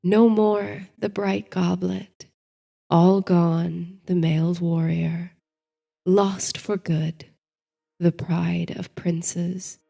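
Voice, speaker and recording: A woman narrating a bedtime story and guided meditation in a soothing, measured, dreamy manner, as the host. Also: lowish voice, flat delivery, creaky. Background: soft music.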